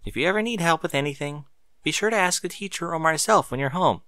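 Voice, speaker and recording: Man voicing a caring, harried mother, lifted pitch. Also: expressive, fast talker, bright, forceful tone, no room sound, studio quality. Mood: gently coaxing. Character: caring, harried mother, cheerful but worried. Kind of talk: audiobook character dialogue.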